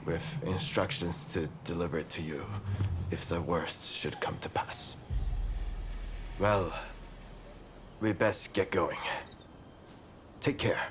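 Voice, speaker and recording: Deep, restrained samurai comrade. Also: male voice, slow, pauses often, background noise. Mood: somber, kind. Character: sober, considerate samurai comrade bearing a letter. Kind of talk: dramatic video game cutscene acting.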